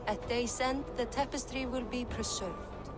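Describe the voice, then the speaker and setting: Women voicing the three ancient Norns, speaking prophecy slowly and solemnly in a video game cutscene. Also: female voice, on the high side, steady tone, over music, close to an everyday voice. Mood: ominous. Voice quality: slow, solemn, ethereal.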